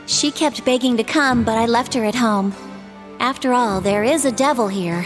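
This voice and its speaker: High-voiced earnest young magician played by a woman, echoey. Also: moderate pace, close to an everyday voice. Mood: polite, familiar. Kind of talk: English-dubbed fantasy game cutscene acting and narration. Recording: roomy echo, game music behind.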